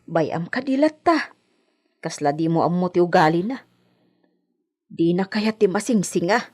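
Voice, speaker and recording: A woman's voice: fairly low pitch, lively intonation, unhurried, darkish tone. A woman playing a long-suffering, deferential household maidservant in an Ilocano radio drama, earnest and pleading. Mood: hushed, practical. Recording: clear background noise and ambience.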